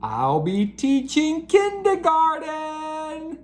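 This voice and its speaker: A woman's voice: high voice, wildly swooping pitch, extremely slow, voice pushed up, exaggerated voice. A woman voicing a proud male grade-school teacher making an emphatic speech in a storybook. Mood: proud, announcing.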